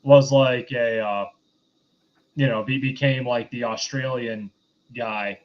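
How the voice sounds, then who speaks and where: A man hosting a casual film review discussion, speaking in a halting, hesitant way. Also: medium pitch, steady tone, dull audio. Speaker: podcast host.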